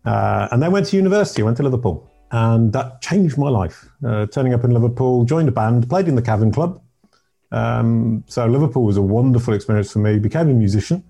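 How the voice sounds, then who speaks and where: A middle-aged man from Yorkshire with a deep voice, telling his life story as a podcast guest in a rambling, self-correcting way. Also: lively intonation, fairly quick, creaky, warm tone, soft background music. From Yorkshire, England. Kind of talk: casual interview storytelling.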